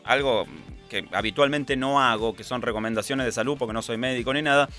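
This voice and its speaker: A man's voice: medium pitch, fairly quick, pushed and bright, soft background music. A man with an Argentine accent hosting a radio show in casual, chatty conversation, with frequent fillers. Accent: Argentine Spanish. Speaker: radio host.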